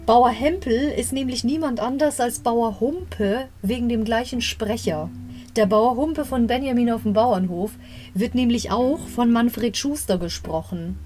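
A woman's voice: fairly high pitch, darkish tone. Chatty young woman, rambling asides. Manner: chatty, rambling asides, corrects herself mid-story. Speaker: podcast host reviewing an audio drama episode. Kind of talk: casual retelling and commentary. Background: faint guitar music.

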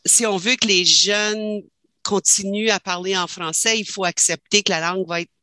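A woman's voice: medium pitch, steady tone, normal speed, sharp tone, dry recording. A woman, a panel guest who speaks thoughtfully and repeats words as she thinks. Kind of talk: discussion panel.

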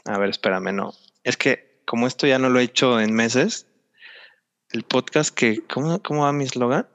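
A man's voice: mid-range voice, moderate pace, a bit bright. A man with a Mexican accent hosting a podcast in a chatty, rambling way, drawing out words for emphasis. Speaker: podcast host. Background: background music.

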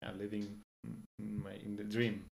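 A young Greek man speaking English as a second language, relaxed and hesitant, with frequent fillers and restarts. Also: lowish voice, moderate pace, vocal fry. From Greece. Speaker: guest. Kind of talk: casual interview in English.

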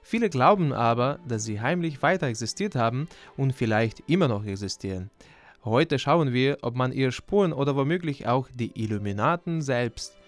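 A man's voice: mid-range voice, animated, normal speed, faint music behind. Teasing young man. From Ukraine. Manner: teasing, dry humour. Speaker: podcast co-host, journalism student. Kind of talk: scripted intro and casual podcast chat.

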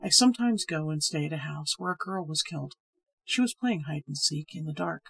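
Storybook role: grave, uneasy male storyteller, read by a woman, husky voice, measured telling. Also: sits low, animated, fairly bright tone, heavily acted. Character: sad, hesitant man telling a ghost story.

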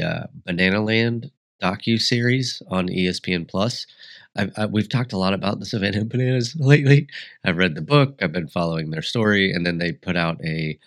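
Low-voiced, congested man rambling solo. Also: normal speed, a bit bright. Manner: rambling, reflective, frequent restarts. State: congested, sniffly. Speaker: podcast host. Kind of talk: solo podcast monologue.

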